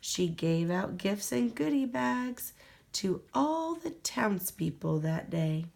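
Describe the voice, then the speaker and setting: A woman reading a children's picture book aloud in an expressive, deliberate storytelling manner. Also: mid-range voice, gravelly. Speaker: storybook reader.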